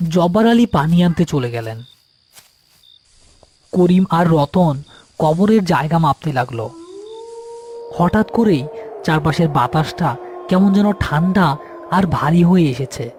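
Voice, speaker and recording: A man's voice: on the high side, animated, unhurried, long pauses, darkish tone, a little hiss or hum. A man reads a scripted horror story as its narrator. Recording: music behind the voice. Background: background music.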